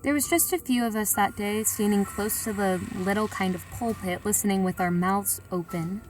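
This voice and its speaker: A woman's voice: mid-range voice, moderate pace, recorded from a distance, soft background music, lightly acted. A woman playing a plain-spoken, reflective sightseer who tells her story in a colloquial, confiding way. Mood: musing, confiding. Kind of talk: first-person audio drama narration.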